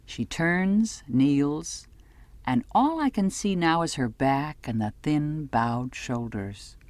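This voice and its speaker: Woman, audiobook narration, literary first-person, expressive pitch movement, measured phrasing, dry studio-like sound. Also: no echo.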